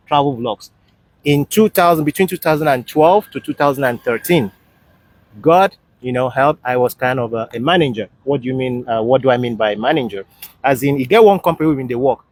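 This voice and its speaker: A man's voice: medium pitch, lively intonation, brisk, dry recording. A man with a Nigerian accent in casual, storytelling chat, giving advice and often checking that he is understood.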